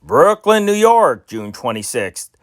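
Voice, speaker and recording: A man's voice: very animated, takes it slowly, no room sound, clean studio sound. Sing-song amateur news reader, man. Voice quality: amateur home-made reading with small slips. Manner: sing-song reading with big rises and drops in pitch. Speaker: newspaper reader, channel host. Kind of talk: reading old sports news aloud.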